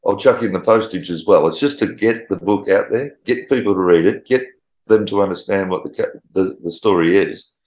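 A man's voice: deep voice, a little fast, darkish tone, reverberant, dull audio. A man, an author guest on a radio chat, talking earnestly. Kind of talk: casual radio interview.

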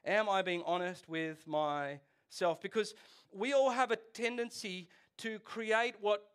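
A man's voice: sits high, takes it slowly, fairly bright tone, smooth. A man in his forties preaching a casual, joking sermon, often asking the congregation questions. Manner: chatty, jokey, asks the audience questions. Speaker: pastor. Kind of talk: conversational sermon.

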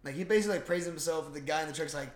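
A man's voice: fairly high pitch, rapid-fire, echoey room. A young man co-hosting a podcast, chatting casually about movies in an informal, slangy, rambling way. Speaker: podcast co-host.

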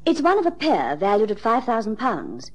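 A woman's voice: a little high, wildly swooping pitch, normal speed. An actress playing a genteel, well-to-do woman in her thirties in an old radio drama, proper and a little hesitant. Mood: polite, hesitant.

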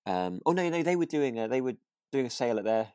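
A British man co-hosting a podcast in casual chat, tentatively softening his opinions with little asides. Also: mid-range voice, very animated, fast, clean tone, dry recording, clean studio sound. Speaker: podcast co-host, actor.

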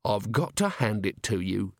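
A male narrator acts a police commander in an audiobook, belligerent and sardonic. He mocks with sham politeness, his pitch moving freely in a dry, close recording. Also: very animated, normal speed, a little mellow, no echo, exaggerated voice. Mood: mock amazement. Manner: mocking, gruffly sarcastic.

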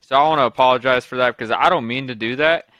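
A man's voice: medium pitch, quick pace, bright, forceful tone, muffled recording. A man co-hosting a podcast, rambling and apologetic in casual chat, with many restarts. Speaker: podcast co-host, streamer.

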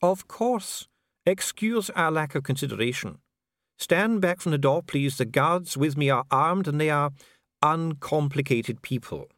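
A man voicing a calm dwarf official with a measured, bureaucratic, smoothly courteous manner in an audiobook. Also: fairly high pitch, dry recording, clean studio sound, voice pushed up. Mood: coolly polite. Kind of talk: audiobook character voice.

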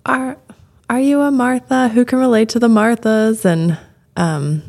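A woman co-hosting a podcast in a casual, chatty way, laughing often and lifting her voice when animated. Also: fairly high pitch, unhurried, creaky. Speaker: podcast co-host. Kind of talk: casual chat.

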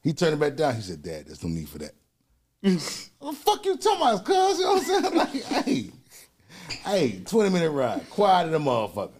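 A middle-aged man chatting casually as a podcast host, telling animated stories and laughing often. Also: on the high side, brisk, husky. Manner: animated storyteller, loud bursts when quoting himself, frequent laughter. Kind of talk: casual chat, storytelling.

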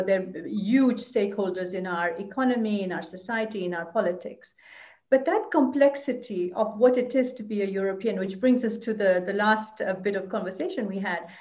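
A woman's voice: mid-range voice, darkish tone. Woman commentator, roomy dull audio. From Belgium. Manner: thoughtful, many fillers and restarts. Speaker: EU commentator, interview guest. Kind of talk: conversational interview answers.

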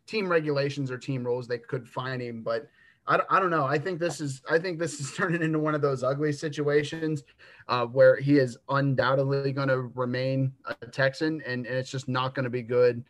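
A man in his twenties co-hosting a sports podcast, giving takes with dry humor and thinking out loud. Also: mid-range voice, fairly even intonation, moderate pace. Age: 20s. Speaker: podcast co-host. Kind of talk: casual sports podcast chat.

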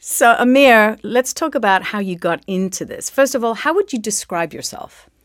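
A woman's voice: a little high, animated, moderate pace, no echo, clean studio sound. A woman hosting an interview podcast, introducing and questioning a guest. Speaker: podcast host.

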